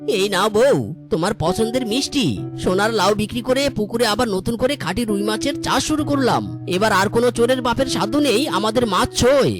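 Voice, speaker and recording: A woman's voice: on the high side, brisk, lightly acted. A woman voicing a hot-headed, boastful male fox husband in a cartoon, exclaiming melodramatically. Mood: amazed, elated. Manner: exclaiming, melodramatic, catchphrase-driven. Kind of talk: exaggerated cartoon acting. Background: music.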